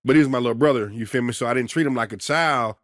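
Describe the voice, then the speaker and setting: A man in his thirties talks on a podcast in a loud, commanding voice, leaning hard on his points as he tells stories. The sound is dry and close, with no room around it. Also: mid-range voice, rapid-fire, no echo. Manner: loud, commanding, emphatic storytelling. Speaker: podcast co-host. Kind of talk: casual podcast chat.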